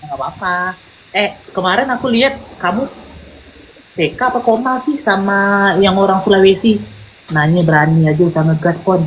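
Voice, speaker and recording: A woman's voice: mid-range voice, a faint crowd. Chatty teasing woman on a noisy livestream. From Malay background. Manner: teasing, chatty, rapid bursts. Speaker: livestream host. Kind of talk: casual teasing chat on a livestream battle.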